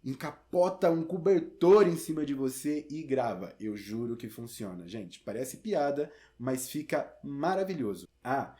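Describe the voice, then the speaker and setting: A man's voice: medium pitch, sing-song, measured pace, few pauses, mellow, breathy edge. A man with a Brazilian accent presents a step-by-step tutorial in an informal, chatty way, speaking directly to the listener. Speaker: theatre and audio tutorial presenter.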